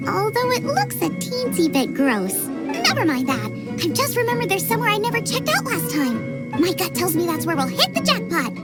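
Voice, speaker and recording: A woman's voice: very high-pitched, huge pitch swings, normal speed, over loud music, heavily acted. An actress playing an eager, upbeat teenage girl chef in a video game, speaking in a bubbly, emphatic way. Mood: reassuring, enthusiastic. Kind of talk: animated game voice acting.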